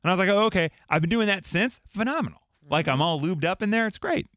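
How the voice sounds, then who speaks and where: A man's voice: high-pitched, animated, fast, fairly bright tone, dry recording, studio quality. A man co-hosting a radio after-show, chatting and joking in casual banter.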